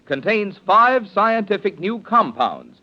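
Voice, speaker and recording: A man's voice: high-pitched, big pitch swings, takes it slowly, sharp tone. A man announcing a 1940s radio commercial in an emphatic, salesmanlike manner. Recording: muffled vintage radio recording.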